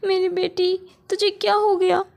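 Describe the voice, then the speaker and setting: A woman's voice: high-pitched, fairly even intonation, normal speed. A woman voicing a harsh, scolding mother in a spoken storybook. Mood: panicked. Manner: sharp, scolding, later pleading. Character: mean middle-aged mother, harsh and scolding.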